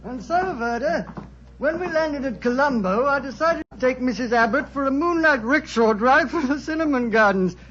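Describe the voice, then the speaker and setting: An actor playing a chatty, boastful, gossipy ship's doctor with a husky voice in a 1940s radio drama. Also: male voice, very high voice, animated, noisy background. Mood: jovial. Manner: chatty, drawn-out, self-important. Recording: muffled 1940s radio recording.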